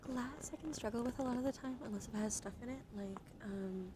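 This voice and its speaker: A woman streaming ASMR in a soft, soothing, partly whispered voice, chatting in a meandering way with gentle repetition, close to the microphone. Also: a little high, brisk, background noise.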